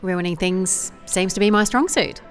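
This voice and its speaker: An actress playing a driven, awkward private investigator in an audio drama, speaking in a formal, wordy, dryly self-deprecating way. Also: female voice, mid-range voice, normal speed, soft background music, natural voice.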